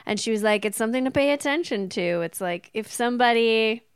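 A woman's voice: a little high, expressive, brisk, clean tone, no room sound, clean studio sound. A woman co-hosting a podcast in casual, informal conversation, telling stories and acting out bits of dialogue. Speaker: podcast co-host. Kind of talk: casual chat about restaurant work.

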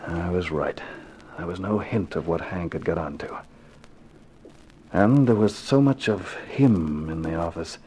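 Deep-voiced, terse hard-boiled private eye. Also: male voice, a little slow. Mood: brooding, weary. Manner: terse, poker-faced, sardonic. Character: tough, guarded hard-boiled private eye, narrating his case. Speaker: actor playing detective and narrator. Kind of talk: 1950s radio crime drama acting. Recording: noisy old 1950s radio recording.

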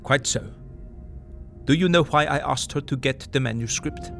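A man playing a grave older male professor who speaks formal, halting broken English, urgent and earnest, sometimes dropping to a hush. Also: mid-range voice, creaky. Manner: urgent, earnest, drops to hushed tones. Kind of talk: audio drama acting. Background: music.

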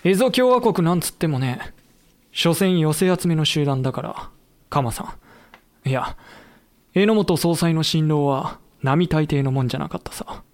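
An actor playing a samurai-era veteran who narrates a historical audio drama in a chatty, wry storytelling manner. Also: male voice, fairly high pitch, lively intonation, unhurried, dry recording. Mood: wry, familiar. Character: colloquial samurai-era veteran recounting his comrades' lost war. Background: background music.

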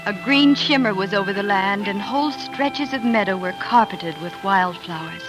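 An actress playing a tender, weary young pioneer wife in earnest, emotional 1940s radio drama style. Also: female voice, medium pitch, moderate pace, natural voice, rough recording. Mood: wistful narration. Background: music.

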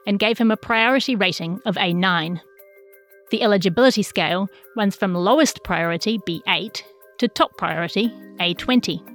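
A woman with a New Zealand accent reading a scripted news feature as a journalist. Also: medium pitch. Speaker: journalist narrating a feature story. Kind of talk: scripted narration.

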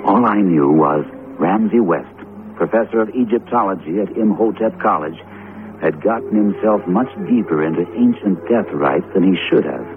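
An actor playing a loyal, protective best friend who talks in a breezy, colloquial, coaxing way in a 1970s radio drama. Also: male voice, deep voice, animated, moderate pace, over music. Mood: grave narrating. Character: loyal, plain-talking male best friend, protective narrator. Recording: tinny, hissy old radio broadcast.

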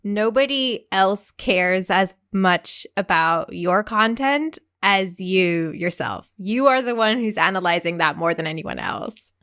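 A woman's voice: medium pitch, animated, takes it slowly, few pauses, fairly bright tone. A woman hosting a podcast interview, enthusiastic and relatable, asking open questions. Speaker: podcast host. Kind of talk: casual interview.

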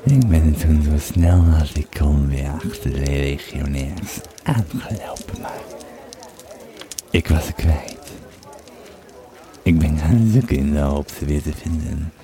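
A man playing a weary, troubled Roman legionary who tells his tale haltingly in an audio drama. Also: rumbling low, crowd noise, full character voice. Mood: grave, haunted. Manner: halting, repeating words, hushed at times. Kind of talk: audio drama monologue and narration.

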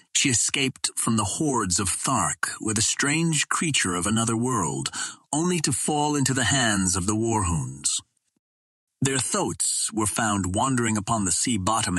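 Grave older nobleman, read by a male narrator, husky and low. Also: a bit bright, no room sound. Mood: somber. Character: older Martian nobleman, courtly, grave and regretful.

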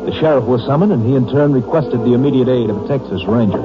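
An American man giving formal, measured scripted narration as narrator of an old-time radio drama. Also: mid-range voice, close to an everyday voice. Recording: muffled vintage radio recording. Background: dramatic music.

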